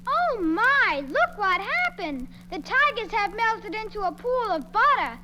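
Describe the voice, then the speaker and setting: A woman's voice: very high-pitched, sing-song, a little slow, background noise, exaggerated voice. A woman voicing a small, timid, polite boy in a storybook record, pleading and childlike. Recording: old storybook record with music.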